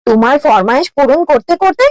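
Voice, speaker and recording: A woman's voice: high-pitched, animated, fast talker, a little mellow, no room sound. A woman playing a vain, quarrelsome young village wife in a cartoon, with whiny, haughty, dramatic complaining. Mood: indignant whining. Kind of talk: exaggerated cartoon dialogue.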